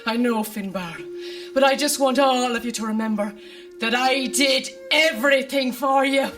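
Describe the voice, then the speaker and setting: A woman's voice: sits high, normal speed, a bit bright, distant mic, faint music behind. A woman playing a self-pitying, melodramatic older Irish mother in a live sci-fi radio comedy. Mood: martyred, pleading. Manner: melodramatic, long-suffering, fussing.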